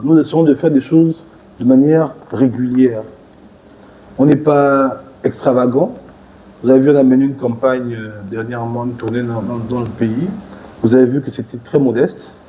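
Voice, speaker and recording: A man's voice: medium pitch, dull audio. A man from Gabon, a party leader, answering pointed questions in a political TV interview, assertive and growing emphatic as he argues. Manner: assertive, rising emphatic when arguing.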